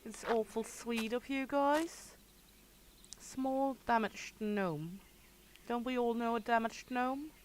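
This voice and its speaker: A woman's voice: a little high, slow pace. Woman, danish accent, gaming streamer, chatty and laughing, hissy background noise. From Denmark. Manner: chatty, laughs often, talks to chat while playing. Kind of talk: casual livestream commentary.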